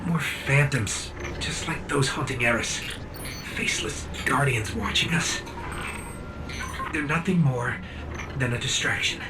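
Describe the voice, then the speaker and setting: A man's voice: mid-range voice, a little slow, long pauses, recorded from a distance, background noise, lightly acted. A man voicing a small robot companion in a video game, earnest and uneasy. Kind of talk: dramatic video game acting.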